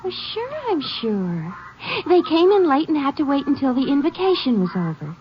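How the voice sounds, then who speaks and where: Eager teenage witness, high girlish American voice, polite, hesitant, dull vintage radio sound. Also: very animated, moderate pace. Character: eager, shy teenage high-school girl, helpful witness. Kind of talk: 1950s radio drama acting. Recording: muffled vintage radio, faint noise.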